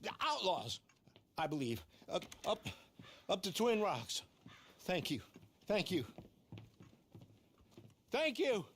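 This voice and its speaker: A man's voice: high-pitched, wildly swooping pitch, takes it slowly, pauses often, a bit bright, slight background noise, exaggerated voice. An actor playing a flustered, pleading middle-aged husband in a game cutscene, stammering as he talks. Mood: flustered, grateful. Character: pleading, flustered older husband of a runaway wife. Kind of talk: video game cutscene acting.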